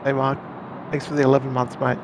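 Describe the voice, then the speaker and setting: A man's voice: medium pitch, level tone, fast talker. Joking Australian man, noisy outdoor recording. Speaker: stream co-host. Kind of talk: casual banter, joking. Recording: outdoor ambience, clear vehicle noise.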